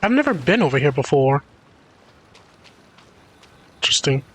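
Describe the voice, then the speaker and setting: A man's voice: on the high side, sing-song, moderate pace, a bit bright, a little hiss or hum. A man livestreaming a video game, chatting casually and commenting on it. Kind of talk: casual gaming stream chat. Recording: faint game music behind.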